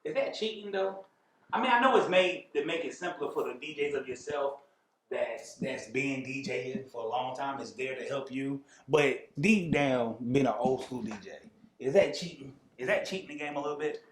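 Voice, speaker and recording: In a roomy, echoing recording, an American man with a mid-pitched voice conducts an interview. His questions come in casual, colloquial conversation. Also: moderate pace, a little hiss or hum. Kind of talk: casual street-level interview chat. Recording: echoey room sound.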